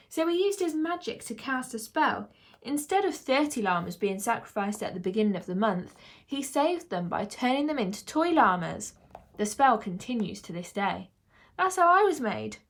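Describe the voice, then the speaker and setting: A cheeky male toy llama played by a woman narrator chatters away in a raised, youthful pitch. He is boastful and slangy, full of exclamations, like a storybook show-off. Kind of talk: children's audiobook character voice.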